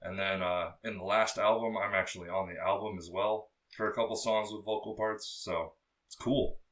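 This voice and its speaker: A young man with a low, bassy voice, chatting as a podcast guest in a loose, rambling way, full of fillers and swearing. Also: steady tone, moderate pace, a bit bright, recorded from a distance. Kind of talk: casual interview chat.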